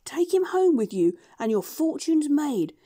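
A woman's voice: high voice, sing-song, measured pace, mellow, voice pushed up. A woman voicing a proud, eager female monkey who speaks persuasively.

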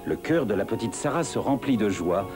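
A man's voice: lowish voice, expressive, fairly quick, over music, lightly acted. A man playing a grandiloquent, poetic storyteller narrating a rhyming cartoon fable. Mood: lyrical, grandiloquent.

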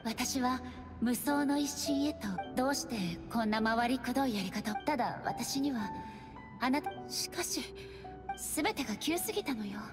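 Gentle serene goddess, voiced by a woman, over music. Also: sits high, moderate pace, lightly acted. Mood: tender. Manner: soft, tender, graceful. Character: gentle, serene departed goddess and loving sister. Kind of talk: anime video game acting. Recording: game music behind the voice.

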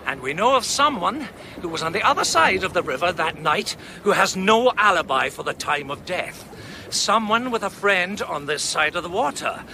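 Amateur detective, high lively voice, deliberate, suspenseful, radio drama. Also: male voice, gravelly, heavily acted. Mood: challenging. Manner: theatrical, deliberate, building suspense. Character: intense amateur sleuth laying out a murder case. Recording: noisy outdoor boat ambience.

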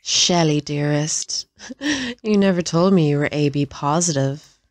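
A woman acts out a sadistic Irish female assassin in an audio drama. Her low, dry-recorded voice is droll and playful, flirting and taunting while she relishes violence. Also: a little slow, a little mellow, no echo. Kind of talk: audio roleplay acting.

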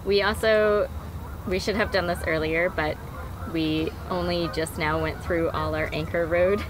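A woman's voice: on the low side, fairly even intonation, measured pace, background noise. A woman vlogging from a sailboat, explaining things step by step as she thinks aloud. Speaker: sailing vlogger. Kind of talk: casual on-board explanation and narration. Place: on a sailboat deck on a windy river.

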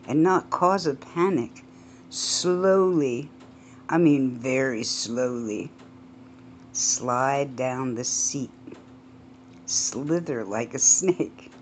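A woman voicing a calm husband who talks slowly and soothingly to his frightened wife. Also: low-pitched, a little hiss or hum.

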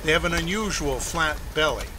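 A man's voice: fairly high pitch, moderate pace, background noise. A man narrating a nature documentary in an informative, lightly wry way. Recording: narration over loud music. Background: loud music.

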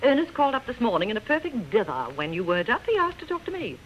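A woman's voice: a little high, big pitch swings, fast talker. A woman playing a fussy, snobbish society mother in a 1930s radio drama, fluttery in manner. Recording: dull, noisy old radio recording.

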